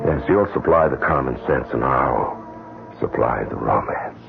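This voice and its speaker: Deep, creaky, smooth-talking killer. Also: male voice, measured pace, full character voice. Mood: smooth, wooing. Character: smooth-talking, cold-blooded male seducer and killer. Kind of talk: old-time radio drama acting. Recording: muffled vintage radio broadcast, music behind.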